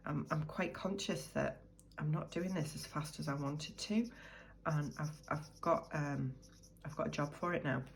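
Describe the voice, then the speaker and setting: A woman's voice: deep voice, moderate pace, vocal fry, a little hiss or hum, low-quality recording. A British woman with a cold, chatting and thinking aloud as she sorts cash into budget envelopes. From United Kingdom. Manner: chatty, thinks aloud while counting money. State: croaky from a cold. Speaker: budgeting vlogger. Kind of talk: casual chat while cash stuffing.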